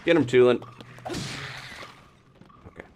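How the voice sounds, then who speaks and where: A man's voice: takes it slowly, a little mellow, a little hiss or hum. A man doing casual live commentary as he plays a video game, thinking aloud with playful reactions. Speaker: gaming live streamer.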